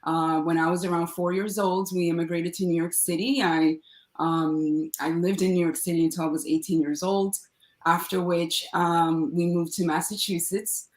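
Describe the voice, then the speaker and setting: A woman's voice: fairly low pitch, level tone, normal speed, reverberant. A woman from the Dominican Republic, a startup founder, speaking as a podcast guest with many fillers and restarts. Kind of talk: casual interview talk.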